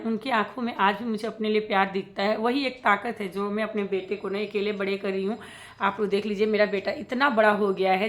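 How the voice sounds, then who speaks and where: A woman's voice: on the high side, a bit bright. A woman, talking casually and emphatically to viewers in an opinionated reaction vlog, repeating points and mixing in English words. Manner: emphatic, repetitive, direct address with English words mixed in. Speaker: reaction video creator addressing viewers.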